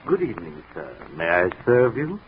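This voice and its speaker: Unctuous salesman: florid, deferential, very animated, lowish pitch; vintage radio drama on tinny narrow-band audio. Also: normal speed. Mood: smooth, solemn. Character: unctuous, courteous male monument salesman. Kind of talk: 1940s radio drama acting. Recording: tinny 1940s radio recording with noise.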